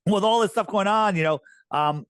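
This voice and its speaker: A man speaking as a podcast guest, earnest and emphatic, his voice worn and going hoarse. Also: normal speed, a bit bright, no room sound. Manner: earnest, emphatic, rising pitch on key points. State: losing his voice. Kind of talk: conversational interview talk.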